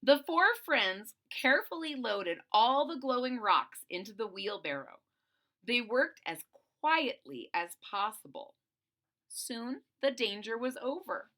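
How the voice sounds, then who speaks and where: A woman reads a children's story aloud in a high, bright voice. Her delivery is animated and unhurried, dropping into informal explanations as she goes. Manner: expressive, informal retelling. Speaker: storybook reader. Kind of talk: children's read-aloud with casual explanatory asides.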